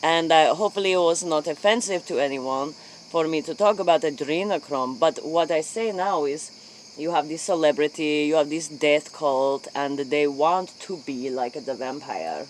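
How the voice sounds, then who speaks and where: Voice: medium pitch, moderate pace, slight background noise, poor audio. An interviewer in a spoof late-night role play, speaking inquisitively in put-on, foreign-sounding broken English.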